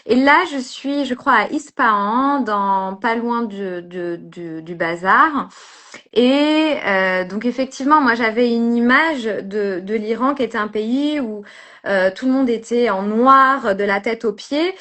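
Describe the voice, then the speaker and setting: A woman's voice: fairly high pitch, a little slow, a bit bright. A woman from France, a street photographer, chatting as an interview guest and talking hesitantly with many fillers and restarts. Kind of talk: casual interview chat.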